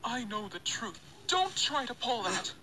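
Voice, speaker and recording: An actress playing an earnest, firm young heroine in a video game. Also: female voice, fairly high pitch, big pitch swings, unhurried, noisy background. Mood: accusing.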